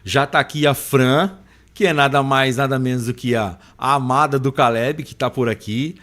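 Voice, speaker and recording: A man's voice: mid-range voice, normal speed, a bit bright. A man with a Brazilian Portuguese accent hosting a live podcast, chatting informally and affectionately with listeners. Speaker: podcast host. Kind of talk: casual live podcast chat, reading out chat greetings.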